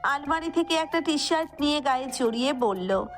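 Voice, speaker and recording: A high-pitched female voice reads a scripted romantic tale as narrator. Faint music runs underneath the narration. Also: animated, normal speed.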